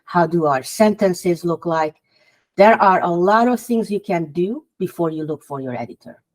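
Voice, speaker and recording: A woman's voice: fairly low pitch, moderate pace, darkish tone. Hungarian-accented woman giving writing advice. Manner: earnest, advice-giving, with small restarts. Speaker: guest author. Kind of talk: podcast interview.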